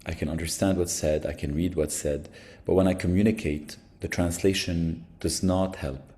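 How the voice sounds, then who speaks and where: A man with a Lebanese accent hosts an online workshop over a video call. His voice is low and warm, and his remarks come quick and upbeat. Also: steady tone. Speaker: workshop host.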